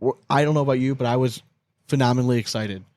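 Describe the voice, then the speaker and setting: A man's voice: mid-range voice, fast talker, a little mellow. An American man co-hosting a casual sports podcast, chatting informally with loose, profane banter. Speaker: podcast co-host.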